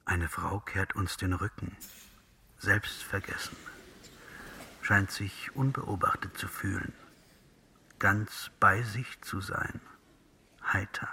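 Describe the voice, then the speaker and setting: A man's voice: deep voice, fairly even intonation, slow, creaky, long pauses, pushed and bright, a little hiss or hum, full character voice. An actor playing a sensual, seductive interpreter, speaking poetic radio drama monologue intimately and savouring his phrases. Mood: seductive, hushed.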